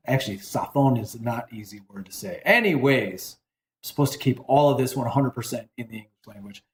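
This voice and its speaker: A man's voice: mid-range voice, echoey room, a little hiss or hum. A man hosting a casual cooking demonstration, chatty and rambling, often correcting himself as he seasons a dish. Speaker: cooking show host.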